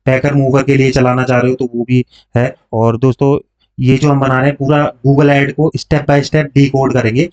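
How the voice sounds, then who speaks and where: A man's voice: mid-range voice, little pitch movement, normal speed, darkish tone. A man teaching informally step by step, explaining as he goes and mixing in English terms with frequent check-in tags. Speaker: online tutorial host, digital marketing coach. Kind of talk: informal step-by-step screen tutorial. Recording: dull lo-fi microphone sound.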